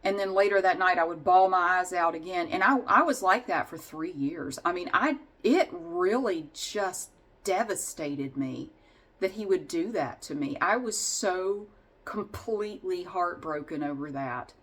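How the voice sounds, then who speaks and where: A woman's voice: on the low side, measured pace, a bit bright. Candid woman telling a personal story. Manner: candid, wry, sarcastic. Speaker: vlogger.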